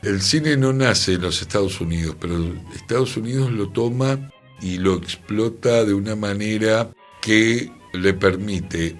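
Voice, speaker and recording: Deep-voiced guy doing radio commentary, repeats words for emphasis, bit of music around. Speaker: radio co-host. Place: radio studio.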